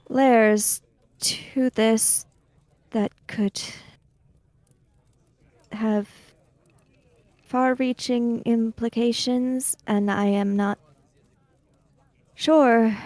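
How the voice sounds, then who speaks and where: Female player as reserved samurai emissary for tabletop game, soft dark tone, dry close sound, precise delivery. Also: on the high side, no echo. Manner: formal, deliberate, precise. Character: reserved, formal samurai emissary, measured and guarded. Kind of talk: in-character tabletop roleplay.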